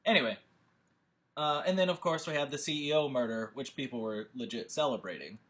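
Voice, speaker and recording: Male host, casual rambling monologue, animated and emphatic delivery, bright forward voice, mid pitch. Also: brisk. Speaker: host and scriptwriter introducing a table read. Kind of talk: informal rambling monologue to an audience.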